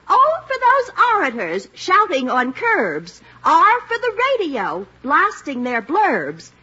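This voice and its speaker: A woman's voice: extremely high pitch, bright, forceful tone, heavily acted. A woman playing a naive, cheeky, girlish sidekick in 1940s radio comedy, with guileless, singsong delivery. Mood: declamatory. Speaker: comic sidekick. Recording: dull 1940s radio recording.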